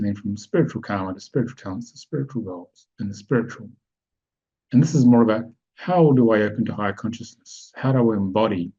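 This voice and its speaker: A man's voice: fairly low pitch, warm tone, breathy edge. A man presenting a spiritual webinar, explaining step by step and often checking that his audience follows. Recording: dull lo-fi online call audio.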